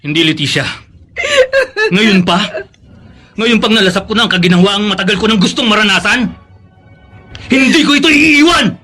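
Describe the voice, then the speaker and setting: Crooked teacher and husband, defiant, greedy, high-set husky voice, radio-drama style. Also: male voice, moderate pace, long pauses, bright, forceful tone, faint music behind. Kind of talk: Filipino radio drama acting.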